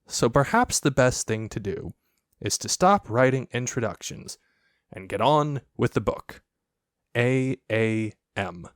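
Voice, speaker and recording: A man reading a children's story aloud as an audiobook narrator, expressive and playful in his storytelling. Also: mid-range voice, slow pace, no room sound, clean studio sound. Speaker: audiobook reader.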